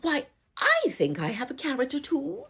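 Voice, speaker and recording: A woman's voice: high-pitched, huge pitch swings, moderate pace, gravelly, fairly bright tone, voice pushed up, heavily acted. A woman voicing eager, obliging village peasants in a storybook, earnest and emphatic, full of awe. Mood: eager, helpful.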